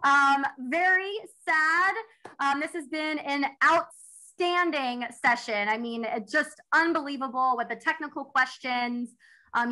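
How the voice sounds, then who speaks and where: A woman in her thirties wrapping up a webinar over a video call, bubbly and expressive with many ums. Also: high-pitched, low-quality recording. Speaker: webinar host. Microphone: video call webcam.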